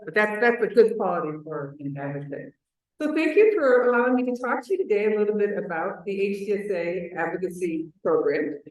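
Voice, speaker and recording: A woman from Chicago with a booming voice, giving an earnest conference talk on advocacy and repeating her key phrases for emphasis. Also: animated, a little fast, darkish tone. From Chicago, US Midwest. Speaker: advocacy program manager, conference speaker. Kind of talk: presentation to a conference audience. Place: large echoey conference room. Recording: very echoey hall, voice far from mic.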